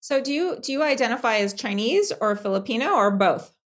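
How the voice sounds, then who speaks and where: A woman's voice: on the high side, expressive, brisk. A woman hosting a podcast, asking questions and thanking her guest, with frequent restarts. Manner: appreciative, hesitant with restarts. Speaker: podcast host. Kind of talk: interview questions.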